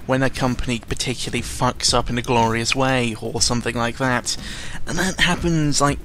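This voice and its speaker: A young man giving casual, unscripted commentary in a rambling, halting way, often interrupting himself, with a sarcastic, self-deprecating and exasperated manner. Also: medium pitch, fairly even intonation, a bit bright, slight background noise, rough recording. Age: young adult. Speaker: YouTuber recording an audio log. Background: background music.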